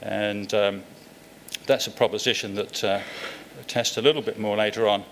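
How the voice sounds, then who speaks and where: Middle-aged guy at a podium mic giving a keynote, steady and explaining, lots of ums, bit of faint hiss in the hall. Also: on the low side. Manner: measured, explanatory, with frequent ums and uhs. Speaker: keynote speaker. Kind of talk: conference keynote presentation. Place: large lecture hall, at a podium.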